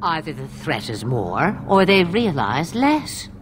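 Old woman of the wilds, fantasy game: mocking, theatrical, slow, swooping delivery, faint noise behind. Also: low-pitched, sing-song, exaggerated voice. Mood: mocking amusement. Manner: theatrical, teasing, unhurried. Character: cryptic, sharp-tongued old woman of the wilds, gleefully mocking. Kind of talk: fantasy video game voice acting.

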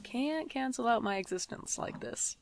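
A woman's voice: fairly high pitch, animated, normal speed, creaky, background noise. A woman playing a wry, hapless twenty-five-year-old in an audio drama, self-deprecating, with dry asides and an anxious streak. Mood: teasing. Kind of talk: audio drama narration and dialogue.

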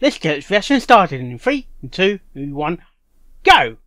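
A man giving casual live reaction commentary, excitable and apologetic, often interjecting. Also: a little high, unhurried, a little hiss or hum. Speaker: reaction video host.

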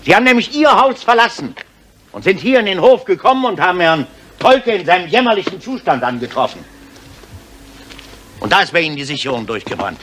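Casting: insistent detective inspector, widely swinging intonation, firm delivery, retro radio play with slight noise. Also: male voice, on the high side, sharp tone. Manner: firm, pressing, deliberate. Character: insistent, probing male police inspector. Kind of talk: 1970s German radio crime drama acting. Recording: old radio recording with faint noise.

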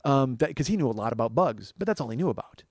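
A man's voice: medium pitch, expressive, quick pace, darkish tone, dry recording. A middle-aged man hosting a comedy podcast, rambling casually and profanely, interrupting himself with digressions and getting worked up. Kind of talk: rambling comic monologue, casual chat.

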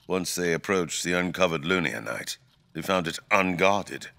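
An actor playing a grave, imperious fantasy king in an audio drama, speaking with formal, deliberate theatrical diction. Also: deep voice, gravelly, bright, forceful tone, exaggerated voice. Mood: grave, scornful.

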